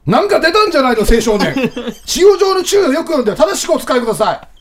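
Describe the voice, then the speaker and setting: Man doing a brisk, high-pitched ad announcer. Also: very animated. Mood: brisk, upbeat. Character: brisk male commercial announcer lecturing youngsters. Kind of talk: mock commercial narration.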